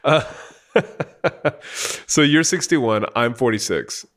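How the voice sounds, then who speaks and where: A man's voice: mid-range voice, lively intonation, slow pace, a bit bright. A man in his forties hosting an interview, asking long, casual questions full of fillers and restarts. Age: 40s. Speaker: podcast host. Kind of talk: conversational interview.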